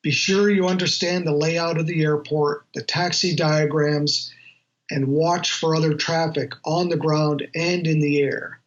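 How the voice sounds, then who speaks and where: A man, an aviation journalist, giving measured explanatory answers in an interview, on a slightly lo-fi recording. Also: a little high, fairly even intonation.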